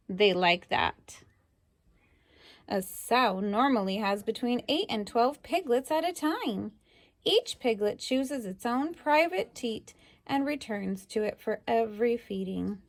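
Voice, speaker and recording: A woman reads a picture book to children in a highish, very lively voice. She takes her time and speaks expressively, adding little asides to her listeners, with a dry, close sound. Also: no room sound. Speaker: storybook reader.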